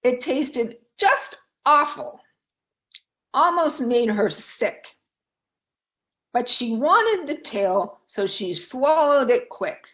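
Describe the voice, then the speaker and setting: A woman storyteller reading aloud for children in a dramatic, deliberate, expressive way. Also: sits high.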